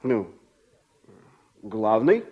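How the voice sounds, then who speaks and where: Lively, folksy wandering workman. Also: on the low side, extremely slow, phone-quality sound, exaggerated voice. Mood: friendly, bemused. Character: easygoing wandering workman, folksy and a bit bemused. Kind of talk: Soviet radio drama acting.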